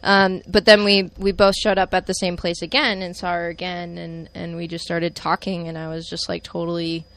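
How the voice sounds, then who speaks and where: A woman's voice: fairly low pitch, level tone, moderate pace, gravelly, few pauses, fairly bright tone, dry recording. A woman in her twenties chattily recounting how she met her partner, in a casual conversation. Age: 20s. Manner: chatty storyteller, many fillers. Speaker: guest.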